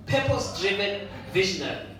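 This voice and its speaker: A man's voice: high-pitched, very animated, fairly quick. A man moderating a panel, probing his guests in a teasing, questioning manner. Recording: echoey hall from far off, noisy.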